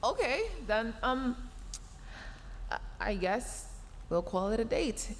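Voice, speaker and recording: A woman's voice: on the high side, lively intonation, slow pace. A woman playing a scolding but secretly caring young female mech engineer in a sci-fi audio roleplay, huffy, flustered and prone to stammering. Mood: flustered, shy. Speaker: voice actor. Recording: long reverb, clear background noise.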